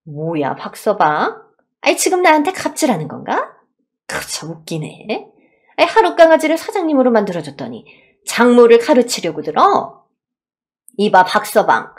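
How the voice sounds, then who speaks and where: A woman's voice: fairly high pitch, big pitch swings, moderate pace. A woman voicing a greedy, bossy middle-aged mother-in-law in a radio-style story, nagging and condescending. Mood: indignant, scornful.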